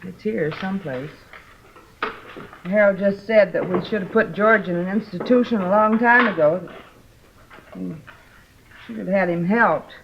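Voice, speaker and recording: An actress playing a worried, guilt-ridden sister in a 1950s radio drama, hesitant and apologetic, on a muffled old recording. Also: female voice, medium pitch, a little slow, long pauses, noisy background, close to an everyday voice. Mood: regretful.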